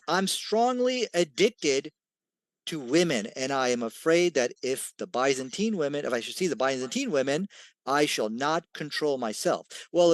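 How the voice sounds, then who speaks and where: A man's voice: a little high, dry recording. A man delivering an argumentative debate rebuttal in a dismissive, emphatic manner.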